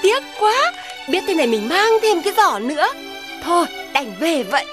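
Clever cartoon cat, very high voice, teasing, self-assured, over prominent music. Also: big pitch swings, normal speed. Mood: regretful. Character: clever, cheeky cat who teases the neighbours. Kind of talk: Vietnamese children's cartoon dubbing. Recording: loud children's music underneath. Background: children's music.

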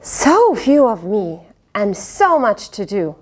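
A middle-aged woman reading a picture book aloud to children in an expressive, dramatic way, with playful asides. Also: mid-range voice, takes it slowly. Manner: expressive, dramatic, with gasps, sighs and questions to the listener. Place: indoors, small fabric tent with cushions. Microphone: lapel.

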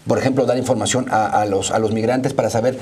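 A man's voice: mid-range voice, fairly even intonation, fast talker, warm tone, a little hiss or hum. A man, commenting conversationally as a panel guest and repeating words as he searches for the next. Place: small dark studio, seated at table.

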